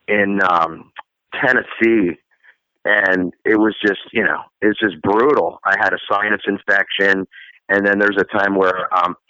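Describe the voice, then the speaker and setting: A man with a bright, forceful voice talks loosely about his life as a singer, often breaking into a laugh mid-sentence. His voice sits a little low. The audio is slightly muffled and very dry, like a remote call. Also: dry recording. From Florida. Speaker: guest singer. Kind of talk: casual interview answers.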